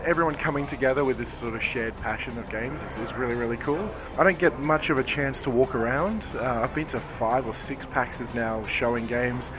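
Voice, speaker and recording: A man, a game developer, hoarse from talking all day, answering interview questions in a rambling, thoughtful way. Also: medium pitch. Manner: rambling, self-correcting, thoughtful. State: hoarse, losing his voice. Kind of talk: convention floor interview. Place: busy convention floor.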